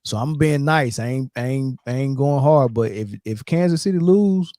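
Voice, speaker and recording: A man's voice: medium pitch, moderate pace, dark tone, no room sound, studio quality. A man hosting a casual live sports fan talk, teasing and trash-talking as he goes.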